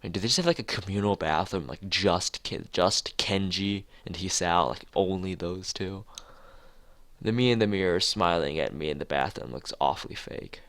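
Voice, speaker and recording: A man's voice: low voice, moderate pace, a bit bright. A man streaming a visual novel, reading it aloud with casual, rambling asides and the odd stumble over lines. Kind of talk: let's play read-aloud with casual asides.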